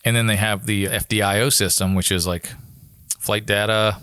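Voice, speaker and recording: A man's voice: on the low side, steady tone, normal speed, a bit bright, clean tone, dry recording, clean studio sound. An American man talking casually and informally, explaining how his work as an air traffic controller goes, like a guest on a podcast.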